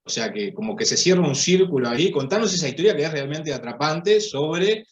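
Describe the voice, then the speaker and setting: A man runs a panel discussion, calmly introducing each guest and posing questions. His voice carries the echo of a bare room. The sound is muffled and lo-fi. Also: on the high side, fairly quick. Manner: orderly, introduces speakers and poses questions. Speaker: moderator.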